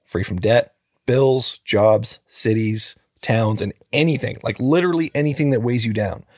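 A man co-hosting a true crime podcast, telling the story in a relaxed, joking way with lots of fillers. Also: mid-range voice, moderate pace, dry recording, studio quality. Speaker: podcast co-host.

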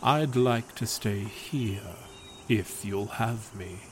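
A man's voice: on the low side, slow, slight background noise. A man voicing a loyal male god of friendship who speaks in an earnest, soothing way. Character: devoted young male god, warm and loyal.

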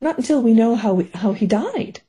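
A woman's voice: on the high side, animated, brisk, mellow, breathy edge, voice pushed up. Woman reading as a rattled widowed lady. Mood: earnest.

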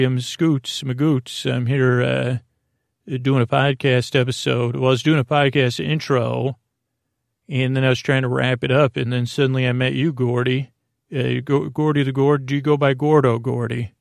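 Gravelly, meandering man, even-toned. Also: medium pitch, no echo, studio quality. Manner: hesitant, meandering, halting, trailing off. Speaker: podcast host. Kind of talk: rambling bedtime podcast monologue.

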